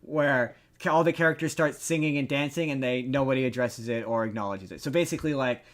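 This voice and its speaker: A man's voice: medium pitch, fast talker. A man hosting a casual pop-culture discussion, talking in a rambling way and repeating words for emphasis. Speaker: podcast host.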